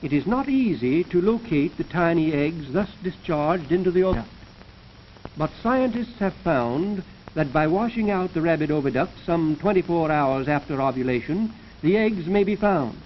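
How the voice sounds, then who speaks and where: Male narrator, high-pitched, formal and measured, 1930s instructional film style, muffled vintage soundtrack. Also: animated, slight background noise. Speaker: narrator of an educational science film. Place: recorded voice-over for a film.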